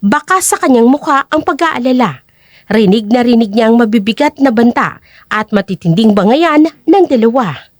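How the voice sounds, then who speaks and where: A woman's voice: on the high side, lively intonation, moderate pace, dry recording, studio quality. A woman narrating a scripted dramatic story as the narrator of an audio drama.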